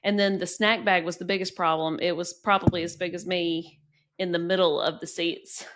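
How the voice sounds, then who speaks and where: A woman's voice: on the low side, flat delivery, normal speed, fairly bright tone, slight background noise. A woman co-hosting a podcast, telling funny stories and acting out quotes. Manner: playful, quotes herself in exaggerated voices. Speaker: podcast co-host, nurse anesthetist. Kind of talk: casual chat.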